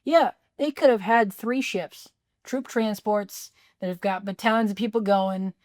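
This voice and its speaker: A woman co-hosting a film podcast, sarcastically picking apart a movie and pitching better scenes. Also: measured pace, a little mellow. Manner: sarcastic, agreeing, rewriting scenes. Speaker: podcast co-host. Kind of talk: casual film critique chat.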